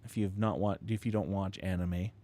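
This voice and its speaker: A man's voice: deep voice, steady tone, normal speed, mellow. A man hosting a live stream from his home setup, chatting casually to viewers with lots of restarts and fillers, close to his microphone. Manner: rambling, many restarts and fillers, chuckles often. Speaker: livestream host building model kits. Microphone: close studio microphone with proximity effect.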